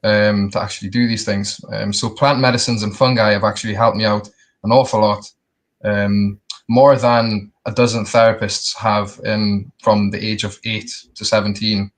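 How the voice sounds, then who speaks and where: A young man, telling his personal story casually in a halting, reflective way. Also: fairly low pitch, little pitch movement. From Scotland and the Netherlands. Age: young adult. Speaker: interview guest.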